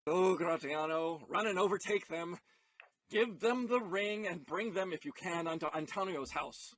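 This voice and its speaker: A man acting an earnest, flustered young gentleman in a Shakespearean audio play, pleading and hesitant with emphatic delivery. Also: sits high, moderate pace, no echo. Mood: urgent.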